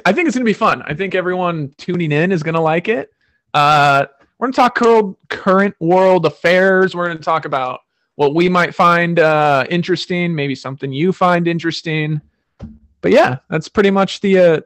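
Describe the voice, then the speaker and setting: A man hosts a podcast in a relaxed, unscripted way. He rambles and restarts his sentences often, and his voice sits a little higher than most men's. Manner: loose, rambling, frequent fillers and restarts. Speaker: podcast host. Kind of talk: casual podcast chat.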